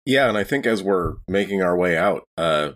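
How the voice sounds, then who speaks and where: A man playing a tabletop game, relaxed as he describes his character's actions. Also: low-pitched, normal speed. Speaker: player. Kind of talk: casual tabletop play.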